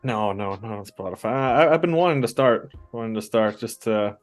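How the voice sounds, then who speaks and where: Halting, easygoing man on a call. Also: fairly low pitch, soft background music. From Norway and the US. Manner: relaxed, halting, many restarts and repeated words. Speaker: guest. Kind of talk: casual conversation.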